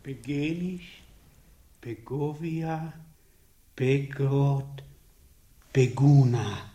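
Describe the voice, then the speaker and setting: A man's voice: medium pitch, drawn-out words, warm tone. A man acting a studious, obliging monastery librarian in a German radio drama, searching and deferential, in a roomy, echoing sound. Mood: absorbed, searching.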